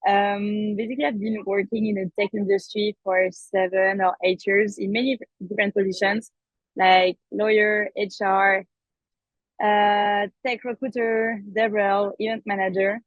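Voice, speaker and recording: A woman's voice: mid-range voice, flat delivery, unhurried, no room sound, highs cut off. A woman introducing herself on a podcast in an easygoing way. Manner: easygoing, listing with fillers. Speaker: event manager.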